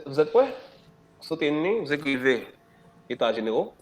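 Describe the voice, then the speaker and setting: A man teaching history in a classroom, hoarse from a cold, dictating slowly, repeating phrases and spelling words out letter by letter. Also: on the high side, sing-song, a little mellow. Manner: emphatic rising stresses, rhetorical questions.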